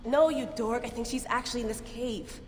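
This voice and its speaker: A woman's voice: fairly high pitch, steady tone, a little fast, noisy background, lightly acted. An actress playing a stubborn, driven young female researcher, insistent and headstrong. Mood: determined. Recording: reverberant cave.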